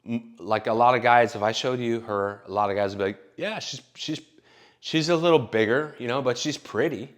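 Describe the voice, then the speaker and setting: A man's voice: mid-range voice, moderate pace. A man in his late thirties telling stories in a rambling, profane, casual monologue full of fillers. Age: late 30s. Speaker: vlogger.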